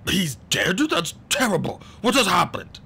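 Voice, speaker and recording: A man voicing a stern, pompous gentleman who admonishes people fussily in an audiobook story. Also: a little high, wildly swooping pitch, a little slow, slight background noise, pitched above the performer's own voice, exaggerated voice. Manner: pompous, admonishing, throat-clearing. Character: fussy, stern, pompous adult gentleman, easily offended.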